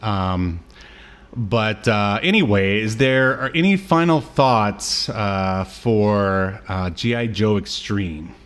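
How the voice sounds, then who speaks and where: A man's voice: on the low side, expressive, slow, a bit bright, clear, resonant tone. A man hosting a podcast, chatting casually about old media with a joking, teasing manner. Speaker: podcast host.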